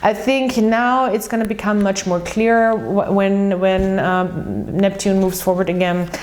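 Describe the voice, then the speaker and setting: A woman's voice: medium pitch, fairly even intonation, unhurried, a little mellow. A woman from Austria with an Austrian German accent, her first language German, giving a casual monthly tarot and astrology reading in a chatty, rambling way with many ums and restarts. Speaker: tarot reader.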